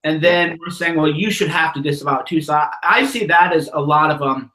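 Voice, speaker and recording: Man, host, casual interview, frank, bright voice, echoey room. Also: on the high side, fairly quick. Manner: conversational, frank, with restarts. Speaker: host of call-in show.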